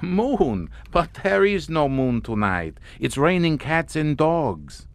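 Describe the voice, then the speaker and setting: An actor playing a courtly, grandiose and mockingly amused Hungarian count in a suspense audio drama. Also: male voice, fairly high pitch, big pitch swings, slow pace, no echo, heavily acted. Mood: mocking amusement. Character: sardonic, theatrical Hungarian count, host of a chateau.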